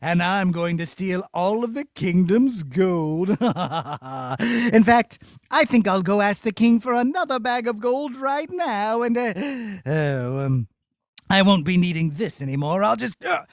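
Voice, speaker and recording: A man's voice: high voice, animated, measured pace, no echo, pitched below the performer's own voice. A man voicing a gloating evil wizard in a children's puppet show, gleeful, sneering and mock-polite. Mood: gleeful scheming. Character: gloating evil wizard, a scheming gold thief.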